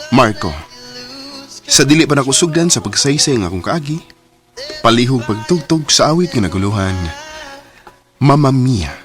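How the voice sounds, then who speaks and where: A man with a Cebuano accent playing a young man who narrates his own love story in a radio drama, earnest and loud. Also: mid-range voice, lightly acted. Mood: announcing. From Bohol, Philippines.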